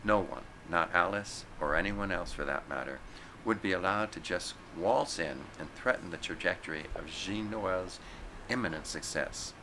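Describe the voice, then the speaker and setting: Deep, bright-voiced man reading a story. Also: normal speed, slight background noise, low-quality recording. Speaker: story reader. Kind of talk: scripted narration, literary story read aloud.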